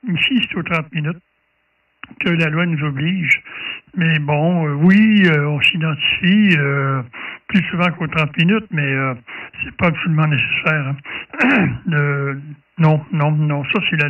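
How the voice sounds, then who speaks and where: A man with a Quebec French accent chatting casually as a ham radio operator, halting as he goes and often clearing his throat. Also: fairly high pitch. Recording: narrow tinny sound, distant from mic.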